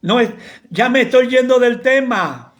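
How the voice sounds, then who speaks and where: Argentine pastor, man, very high voice, slow and fiery, rebukes and shouts through the sermon. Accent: Argentine Spanish. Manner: impassioned, rebuking, bursts into shouting. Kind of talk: preaching a sermon.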